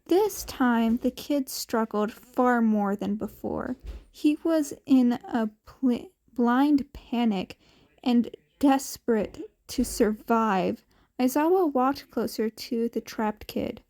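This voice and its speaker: A woman's voice: on the high side, takes it slowly, dark tone. A woman narrating scripted fan fiction as a podfic reader.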